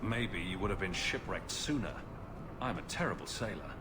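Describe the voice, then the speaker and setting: An actor playing a charming, sardonic young adventurer hero in a video game, with a dry wit. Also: male voice, low voice, lively intonation, normal speed, background noise. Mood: dryly joking. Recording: roomy echo, music behind.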